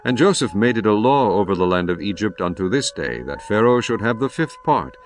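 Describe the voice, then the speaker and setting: A man narrating scripture in a solemn, expressive scripted reading. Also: lowish voice, fairly quick. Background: faint music.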